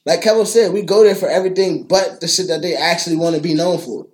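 A man's voice: a little high, quick pace. A man co-hosting a podcast in casual chat, slangy, profane and opinionated. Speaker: podcast co-host.

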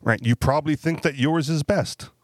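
A man's voice: on the high side, normal speed, dry recording, studio quality. A man co-hosting a podcast chat, talking loosely with fillers and frequent tag questions. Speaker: podcast co-host. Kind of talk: casual panel discussion.

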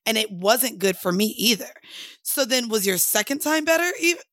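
Upbeat woman podcast host, higher voice. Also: expressive, normal speed, a bit bright, no room sound, clean studio sound. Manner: chatty, upbeat, asks personal questions. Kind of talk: casual podcast chat.

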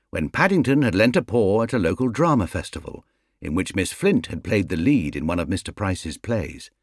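A British man narrates a storybook in a low voice that moves freely up and down. The recording is dry and close, with the measured delivery of scripted audiobook reading. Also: no echo.

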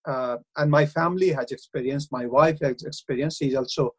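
A middle-aged man with an Indian accent, speaking earnestly and haltingly in a radio interview, with frequent hesitations. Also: medium pitch, fairly even intonation, husky. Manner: earnest, hesitant, many uh fillers. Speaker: councillor and university lecturer.

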